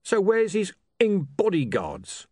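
A crude, slow-witted thug in an audiobook, played by a male narrator. He grumbles bluntly and sullenly, with uneven, lively pitch and no room sound. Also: no echo, studio quality, heavily acted. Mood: blunt aggression. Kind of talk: audiobook character voice.

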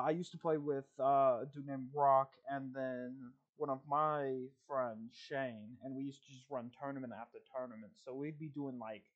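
A man hosting a casual podcast, speaking at an ordinary middle pitch. He rambles and swears freely as he tells stories. His mic sounds dull and lo-fi. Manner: loose, profane, rambling storytelling. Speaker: podcast host.

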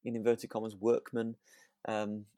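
A man's voice: on the low side, completely flat delivery, a little slow, mellow, no room sound, clean studio sound. A man co-hosting a casual review podcast, agreeable and a little hesitant, often chuckling as he talks. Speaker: co-host of a radio drama review podcast. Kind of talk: casual chat.

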